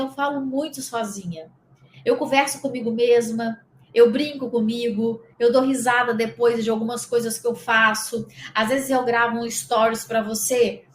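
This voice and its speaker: A woman's voice: a little high, echoey room, a little hiss or hum. A Brazilian woman from the interior of Espírito Santo, a spiritual coach giving a casual guided lesson, talking straight to the listener in a rambling, chatty way. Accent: Brazilian Portuguese. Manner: conversational, rambling, speaks directly to the listener.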